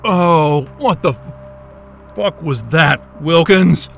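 Man acting a distressed, high-voiced male scientist. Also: slow pace, faint music behind. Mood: confused, angry. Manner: gasping, stammering, profane. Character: distressed, foul-mouthed male astrophysicist, angry and bewildered. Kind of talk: science-fiction audio drama acting.

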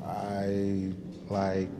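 A man's voice: fairly low pitch, drawn-out words, creaky, full character voice. A man playing a stammering awkward date. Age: 30s. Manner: hesitant and stammering. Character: awkward thirty-year-old man on a first date. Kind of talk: audio drama acting.

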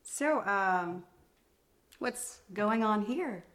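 An actress playing a guarded, uneasy interior designer in a thriller film, hesitant and stiffly polite. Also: female voice, mid-range voice, lively intonation, extremely slow, a bit bright. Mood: uneasy, politely disapproving.

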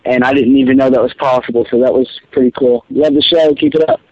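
A man's voice: mid-range voice, fairly even intonation, fast talker. Eager male caller, dull lo-fi sound. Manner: eager, storytelling. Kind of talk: voicemail story. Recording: dull lo-fi recording.

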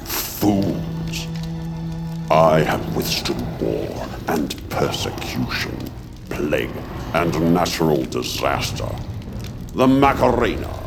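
A man's voice: extremely low pitch, big pitch swings, slow, gravelly, music in the background, full character voice. An actor playing an ancient, pompous male monster villain in an audio drama, theatrical and taunting. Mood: grandiose. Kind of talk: audio fiction acting.

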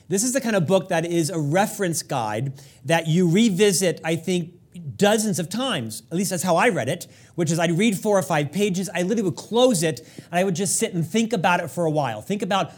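Guy hosting an interview, gushes a lot and rambles through his questions, pretty high voice. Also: darkish tone. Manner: effusive, long-winded questions. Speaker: interview host.

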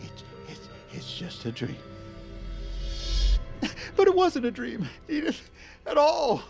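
An actor playing a whimpering, panicky Vice President in a comedic audio drama, anxious, halting and overwrought. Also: male voice, extremely high pitch, wildly swooping pitch, gravelly, darkish tone, soft background music, full character voice. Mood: whimpering, distraught.

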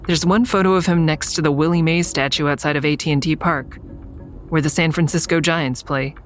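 An actress playing an observant investigator who narrates in a measured, descriptive way in an audio drama. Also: female voice, sits low, fairly even intonation, faint music behind, close to an everyday voice. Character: observant woman narrating an investigation in first person.

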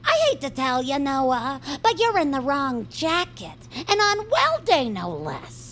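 A very animated little bully creature, high and smug. She drawls her threats in a slow, mock-sweet taunt, in the manner of a family audio drama villain. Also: a little hiss or hum, heavily acted. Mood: smug, possessive. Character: smug bullying peepkin henchwoman, a small creature. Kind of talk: audio fiction acting.

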